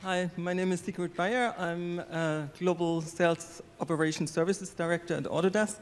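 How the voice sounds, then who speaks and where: A man in his forties giving a plain, even introduction on a conference panel. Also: high voice, moderate pace. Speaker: panelist, sales operations director. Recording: long hall reverb through PA.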